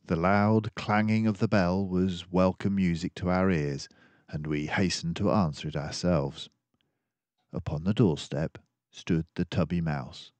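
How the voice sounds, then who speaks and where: Older guy reading kids book out loud, takes it slow, lowish voice, dry close sound. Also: no echo. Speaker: storybook reader. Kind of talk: expressive read-aloud narration of a children's book.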